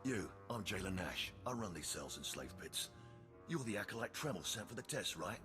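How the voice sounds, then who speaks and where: An actor playing a callous male jailer in a video game, gruff, brusque and folksy in his talk. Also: fairly low pitch, very animated, normal speed, music in the background.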